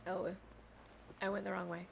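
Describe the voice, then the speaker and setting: A woman's voice: medium pitch, little pitch movement, moderate pace, creaky. A woman livestreaming a video game, chatting casually to viewers with playful asides. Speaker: game streamer. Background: video game music.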